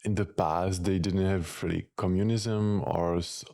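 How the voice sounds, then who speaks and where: Deep gravelly man. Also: fairly even intonation, a little slow, mellow. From Czech Republic. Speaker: reaction video host. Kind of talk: reaction commentary.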